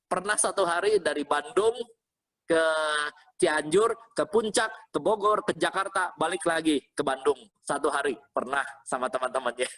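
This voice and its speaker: A man's voice: on the high side, moderate pace, poor audio. A man preaching as a pastor in an informal, interactive way, repeating phrases and checking in with listeners. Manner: interactive, often checking with listeners using short questions; animated, exhorting, repeats phrases. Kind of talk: informal conversational sermon to an online congregation.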